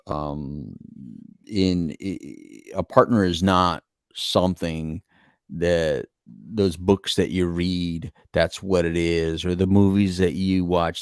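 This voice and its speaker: Middle-aged American male podcast co-host, deep register, earnest, rambling and repetitive, close dry sound. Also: smooth, dry recording. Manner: earnest, rambling, repeats phrases for emphasis. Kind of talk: casual reflective relationship talk.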